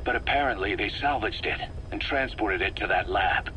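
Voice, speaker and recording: A man's voice: lowish voice, normal speed, sharp tone, rough recording. A man playing a stern, distrustful mercenary officer in a video game, giving briefings in a clipped, authoritative way. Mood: grave briefing. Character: stern, distrustful male mercenary second-in-command briefing his commander. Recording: faint background noise on cassette recording.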